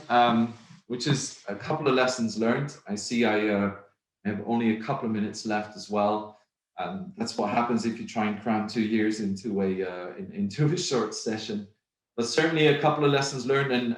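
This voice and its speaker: A middle-aged man from Belgium, a native Dutch speaker with a Flemish accent, presenting at a virtual conference in a measured, reflective way with many ums. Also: mid-range voice. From Belgium (Flanders). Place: home office, indoors. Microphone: video call. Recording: roomy video-call audio.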